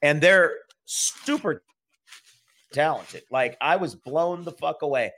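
A man's voice: on the high side, slow pace, fairly bright tone, no echo. A man telling bar stories on a podcast as a guest, in a loose, cursing chat style with frequent laughs. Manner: loose, swearing, laughing storyteller. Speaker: podcast guest, restaurant worker. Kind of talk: casual chat.

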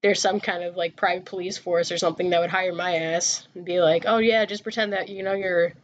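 A woman's voice: on the low side, fairly even intonation, a little fast, muffled recording, a little hiss or hum. A young woman hosting a casual podcast chat, joking and rambling with plenty of fillers. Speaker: podcast host.

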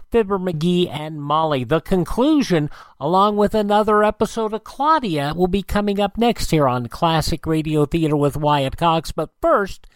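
A man's voice: high-pitched, no echo. A man presenting a radio show as its host. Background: music.

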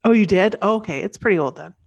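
A woman in her thirties co-hosting a podcast, chatting eagerly with quick asides, sniffly from the cold. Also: medium pitch, lively intonation, gravelly, darkish tone, husky, lo-fi sound. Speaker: podcast co-host. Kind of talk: casual chat.

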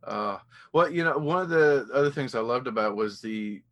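A man's voice: medium pitch, animated, moderate pace, fairly bright tone. A man hosting a casual interview, enthusiastic and easily amused as he talks with his guest. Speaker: podcast host, interviewer.

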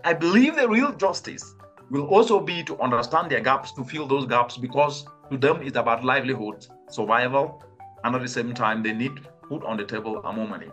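A man's voice: mid-range voice, animated, brisk, a bit bright, soft background music. A man from Cameroon, a climate expert, answering interview questions earnestly and with rhetorical questions. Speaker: guest, climate change expert.

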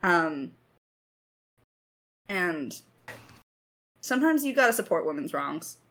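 A woman's voice: fairly low pitch, big pitch swings, slow pace, a bit bright, rough recording. A woman talking casually and reflectively as a writer in a Q&A, with some self-deprecating humour. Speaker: lead writer of an audio drama. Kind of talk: casual Q&A discussion.

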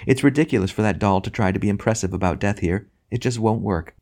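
A man voicing a joyful, loving lady spirit in an audiobook, gentle and earnest with a teasing touch. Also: fairly low pitch, fast talker. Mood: amused teasing. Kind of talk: audiobook character acting.

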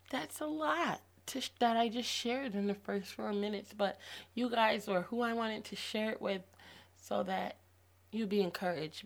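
A woman in her thirties hosts a faith-based podcast, her voice hoarse and gravelly from losing it. She talks to her listeners in an upbeat, encouraging way at a middle pitch. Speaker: podcast host.

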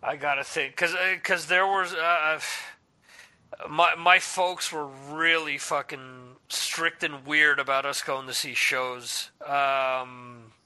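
A man's voice: on the high side, steady tone, slow, pushed and bright, clean tone. A man in his forties chatting casually on a podcast, loose and profane, laughing often. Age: 40s. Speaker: podcast host. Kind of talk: casual chat. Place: lives in Spain.

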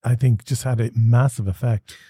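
An Irish man in his forties speaks in a mellow, unhurried register, recorded close and dry. He tells his own story thoughtfully, often restarting mid-phrase and filling pauses. He has the easy, conversational pace of a podcast host. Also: mid-range voice, fairly even intonation, slightly rough, dry recording. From Ireland. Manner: thoughtful, with frequent restarts and fillers.

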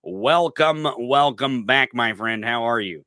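A man's voice: medium pitch, slow pace, bright, forceful tone, dry recording, studio quality. A man hosting a podcast, chatting and joking casually. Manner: joking, upbeat. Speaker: podcast host.

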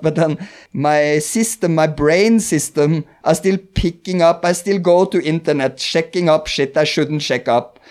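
A man's voice: on the high side, a little mellow, clear, resonant tone. A man with a Norwegian accent, a podcast host in casual conversation, rambling, searching for words and laughing often. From Norway.

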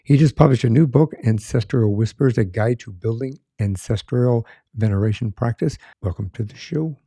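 A man's voice: mid-range voice, normal speed, mellow, slightly rough, dry recording. A middle-aged man hosting a podcast interview, friendly and rambling, often restarting his sentences. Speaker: podcast host. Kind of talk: conversational interview.

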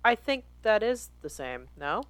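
A woman's voice: mid-range voice, big pitch swings, a little slow, no room sound. An American woman co-hosting a podcast, bantering casually with wry, playful, sweary humor. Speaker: podcast co-host.

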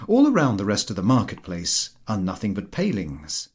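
A man reading a literary story aloud as an audiobook narrator, with an expressive storytelling delivery. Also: lowish voice, moderate pace, husky. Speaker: audiobook reader.

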